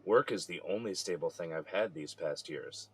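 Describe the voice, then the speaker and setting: A man's voice: deep voice, moderate pace, slightly rough. A man playing a weary, embattled middle-aged son in an audio drama, downcast, frustrated and bitter.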